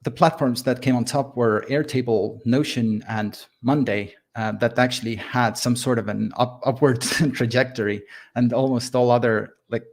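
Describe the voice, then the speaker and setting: A man's voice: medium pitch, fairly even intonation, normal speed. A man from Estonia with a light Estonian accent, speaking as a podcast guest in a casual, hesitant way with many fillers and restarts. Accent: Estonian, softened by living abroad. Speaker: podcast guest, software founder. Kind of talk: casual interview talk.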